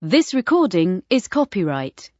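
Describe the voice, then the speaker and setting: A woman reading formal opening announcements in a measured, careful way, her pitch moving a lot. The recording is dry and somewhat dull. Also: big pitch swings, darkish tone, no echo. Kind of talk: scripted narration.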